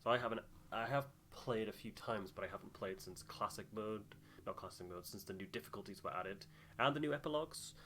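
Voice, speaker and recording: A man's voice: fairly low pitch, fairly quick, fairly bright tone, a little hiss or hum. A man hosting a voiced game playthrough, moving between casual chat and reading narration aloud.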